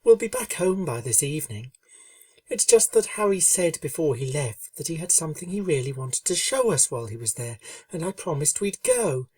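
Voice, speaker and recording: A woman voicing a shy, gentle young female pegasus pony who speaks softly and soothingly. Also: low-pitched, big pitch swings, normal speed, no room sound, recorded from a distance. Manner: soothing, soft, quiet.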